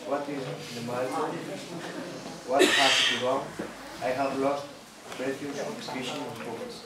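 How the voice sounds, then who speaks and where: A young man playing folk tale characters in a puppet show, reading the lines in a halting, stiff way. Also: medium pitch, flat delivery, lightly acted. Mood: earnest, stiff. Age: teenager or young adult. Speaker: student puppeteer. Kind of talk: stilted read-out puppet show dialogue. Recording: echoey room from far off, crowd noise.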